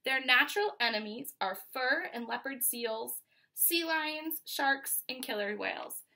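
A young American woman with a fairly high, bright voice reads a picture book to children. She is instructive, breaking off from the text to explain things and pose questions to her listeners. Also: lively intonation, a little slow. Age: 20s. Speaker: teacher reading aloud. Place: small furnished room at home, indoors.

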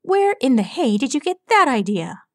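A woman's voice: high voice, very animated, normal speed, no echo, studio quality, voice pushed up, exaggerated voice. A woman voicing a grumpy, bookish young male earth pony who grumbles in a proud, well-spoken way. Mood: grumbling.

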